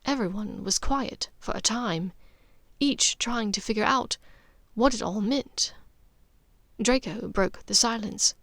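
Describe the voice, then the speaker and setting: A woman's voice: mid-range voice, takes it slowly, a bit bright, no echo, studio quality. A woman reading scripted fiction as an audiobook narrator.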